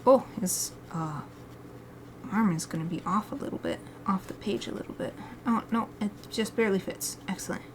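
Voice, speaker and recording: A woman's voice: mid-range voice, normal speed. A chatty woman from the States talks through a live drawing session, giggling often and thinking aloud. Her voice sits mid-range. A faint hum of room noise runs under the desk mic. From United States. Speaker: artist streaming live drawing. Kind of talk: casual chat while drawing live.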